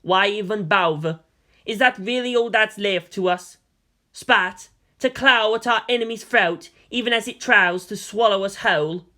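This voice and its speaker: A man's voice: sits high, measured pace, bright, forceful tone, pitched above the performer's own voice. A man voicing a despairing army colonel, bitter and weary, with emphatic delivery.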